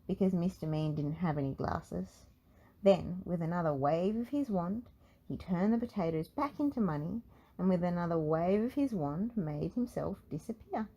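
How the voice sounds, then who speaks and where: A woman narrating a children's storybook in an expressive read-aloud style. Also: fairly low pitch, husky, low-quality recording.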